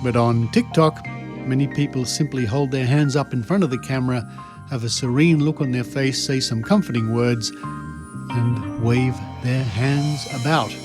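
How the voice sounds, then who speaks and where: A man's voice: medium pitch, moderate pace. Aussie guy hosting a podcast, dry wry commentary, music playing behind him. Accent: Australian. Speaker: podcast host.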